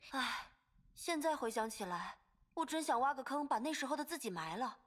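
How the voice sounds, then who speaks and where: Scienziata impacciata, voce molto mobile. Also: female voice, sits high, lively intonation, brisk, fairly bright tone. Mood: embarrassed. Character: self-deprecating, awkward female scientist. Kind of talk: scripted anime game voice acting.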